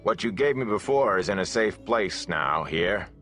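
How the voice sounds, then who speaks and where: A man's voice: low voice, lively intonation, moderate pace, fairly bright tone, soft background music. Man doing a sullen, sly, greedy ferryman. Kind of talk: audiobook character acting.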